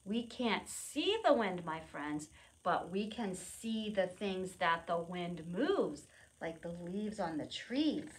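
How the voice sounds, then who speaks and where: A woman presenting a preschool storytime, reading aloud and explaining in simple, expressive phrases with questions for young children. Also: on the low side, slow, low-quality recording.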